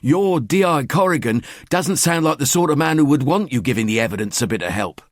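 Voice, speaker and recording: A man's voice: fairly high pitch, animated, a little fast, dry recording, studio quality, voice pushed up, close to an everyday voice. A man voicing a nagging middle-aged wife with an East London accent, sarcastic and scolding.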